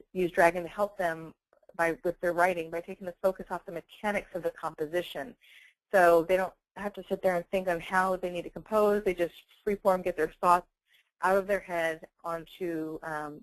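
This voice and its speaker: A woman giving a semi-scripted software webinar presentation in an explanatory, conversational manner. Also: fairly low pitch, no room sound, low-quality recording.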